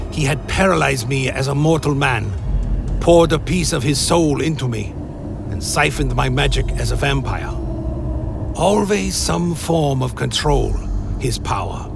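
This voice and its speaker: An actor playing a solemn, brooding male vampire who narrates gothic horror in a dramatic, deliberate, gravelly voice. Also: fairly high pitch. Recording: music behind the voice.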